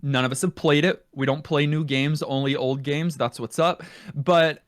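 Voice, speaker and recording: A man's voice: on the high side, fairly even intonation, normal speed, no echo, clean studio sound. A man hosting a casual gaming podcast, chatting and bantering in a teasing way. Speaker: podcast host.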